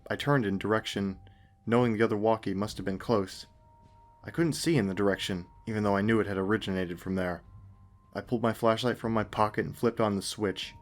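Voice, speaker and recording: A man's voice: lowish voice, fairly quick. A man reading a scripted first-person horror story as narrator. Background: faint music.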